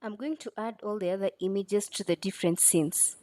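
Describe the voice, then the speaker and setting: A woman's voice: mid-range voice, a little fast, warm tone, no echo. A woman presenting a step-by-step screen tutorial in an instructional way.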